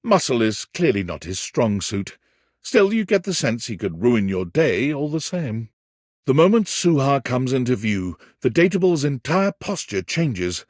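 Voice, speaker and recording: A man's voice: medium pitch, very animated, unhurried, darkish tone, dry recording, studio quality. An actor playing a wry, dramatic storyteller, a man narrating a cheeky dating game in an arch, florid, theatrical way. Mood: grand, dramatic. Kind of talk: scripted narration.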